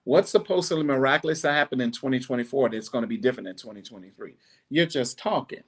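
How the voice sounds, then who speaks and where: A man's voice: mid-range voice, quick pace, breathy edge. Blunt preachy man talking fitness. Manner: blunt, preachy, animated with mock gasps. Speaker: podcast host. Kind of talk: casual monologue.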